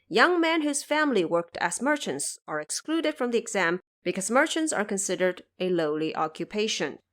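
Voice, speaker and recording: A woman hosting a podcast, reading scripted explanatory notes and addressing her listeners directly in a measured way. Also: mid-range voice, fairly bright tone. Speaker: podcast host.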